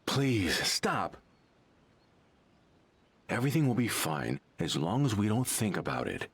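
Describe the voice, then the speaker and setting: A man's voice: lowish voice, big pitch swings, measured pace, husky, full character voice. A man voicing a troubled, evasive bathhouse patron in an anime game, hesitant and dismissive. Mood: distressed. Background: faint background music.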